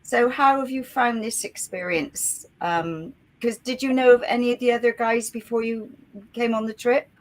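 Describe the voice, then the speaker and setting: A woman's voice: on the high side, normal speed, rough recording. Woman hosting a radio interview. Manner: gentle questions with fillers and laughs. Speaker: radio host.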